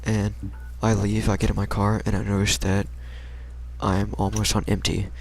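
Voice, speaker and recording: A man's voice: lowish voice, completely flat delivery, normal speed. A young American man casually telling a personal story in a rambling, slangy, hesitant way. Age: young adult.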